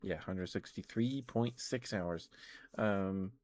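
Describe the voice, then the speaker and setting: A man with a low-pitched voice chats casually in an ASMR livestream style, close to the microphone. He rambles along hesitantly, often pausing to rephrase or correct himself. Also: darkish tone, slight background noise. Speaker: live streamer hosting an ASMR stream. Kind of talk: casual ASMR chat about video games.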